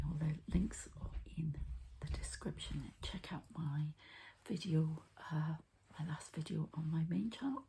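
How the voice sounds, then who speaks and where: Low, whispering woman in soft ASMR. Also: rough recording. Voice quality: soft, breathy whisper with little voicing. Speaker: energy healer presenting her own channel. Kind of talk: whispered ASMR healing narration. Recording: faint background noise.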